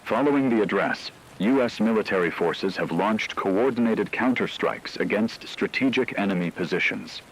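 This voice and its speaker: A man playing a grave radio news anchor, delivering a scripted dramatic war broadcast in a solemn, measured, emphatic way. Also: lowish voice, a little hiss or hum, lightly acted, rough recording. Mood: grave, urgent.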